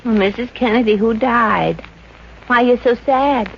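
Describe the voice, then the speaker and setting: A woman's voice: fairly high pitch, normal speed, gravelly. An actress playing a sassy, wisecracking young working girl in a 1950s radio comedy. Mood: wry, teasing. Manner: wry, sassy, snappy comebacks. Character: wisecracking, dreamy young working-girl boarder, brassy and quick. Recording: muffled, noisy old radio recording.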